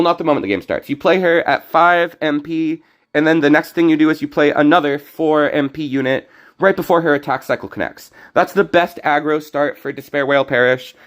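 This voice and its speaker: A young man with a fairly high voice and a slightly hoarse throat chats his way through a card game on stream. He rambles, cuts himself off and restarts his sentences as he explains his plays. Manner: rambling, self-correcting. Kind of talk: casual gameplay commentary.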